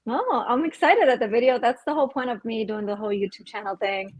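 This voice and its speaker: A young woman from Puerto Rico talks as a podcast guest, chatty and upbeat. Her voice sits a little higher than most. The recording is dry and close. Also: lively intonation, fast, darkish tone, no room sound. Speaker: guest, boutique owner. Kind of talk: casual interview chat.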